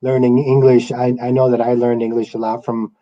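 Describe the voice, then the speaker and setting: A man from Guatemala whose first language is Spanish, hosting a casual interview with a relaxed, stammering delivery. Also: medium pitch, a little fast, highs cut off. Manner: relaxed, hesitant with stammered restarts. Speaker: radio host.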